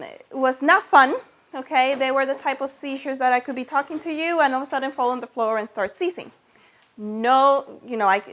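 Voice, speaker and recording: Female speaker, middle-aged, Venezuelan Spanish accent, high-pitched voice, conversational health-practitioner talk to a small audience. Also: a bit bright. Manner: conversational, checks in with listeners with "okay?" and "right?".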